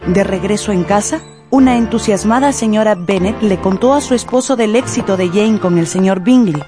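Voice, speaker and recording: A woman narrating a scripted radio drama as storyteller, playfully, with dramatic pauses. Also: medium pitch, music in the background.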